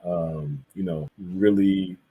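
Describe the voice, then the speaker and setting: Low-voiced man in casual conversation, slightly husky. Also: steady tone, slow, narrow, tinny audio. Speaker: guest, visual artist and illustrator. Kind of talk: casual interview chat.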